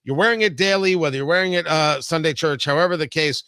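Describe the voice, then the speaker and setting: Male talk radio host: higher-pitched, forceful and emphatic, conversational, dry studio sound. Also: quick pace, clean tone, no echo. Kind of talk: conversational political talk show with ad read.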